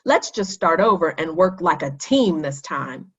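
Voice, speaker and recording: A woman's voice: low voice, expressive, unhurried, slightly rough, muffled recording, full character voice. A woman voicing a friendly, cheerful schoolboy who encourages teamwork in a children's storybook. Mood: encouraging. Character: friendly, cooperative schoolboy, cheerful team player.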